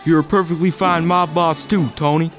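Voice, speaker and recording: An actor playing a familiar, deferential pizzeria underling to a mobster in a comedic audio drama. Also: male voice, on the high side, fairly even intonation, normal speed, faint music behind, close to an everyday voice. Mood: friendly, reassuring. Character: loyal, eager male pizzeria underling to a mobster.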